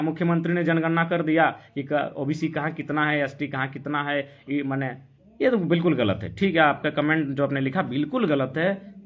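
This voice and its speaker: The sound is a little dull and lo-fi. A man with a Jharkhandi Hindi accent talks in a somewhat high voice, pressing his political argument insistently. He leans on rhetorical questions, like an activist addressing followers on a live stream. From Jharkhand, India. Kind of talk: impassioned political talk on a live stream, answering comments.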